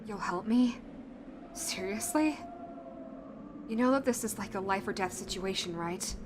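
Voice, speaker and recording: Casting: actress for tough but caring young woman fighter, zombie-apocalypse audio roleplay, medium register, sincere halting delivery, noisy background. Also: medium pitch, natural voice. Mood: surprised, concerned. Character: determined, protective young woman fighter, survivor of a zombie outbreak. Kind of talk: dramatic audio roleplay addressed to the listener.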